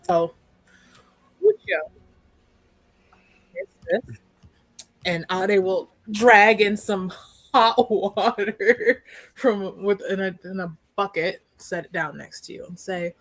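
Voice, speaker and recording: A woman's voice: medium pitch, takes it slowly, pauses often, no echo. A woman playing a tabletop roleplaying game, chatting casually and playfully as she improvises. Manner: animated, playful.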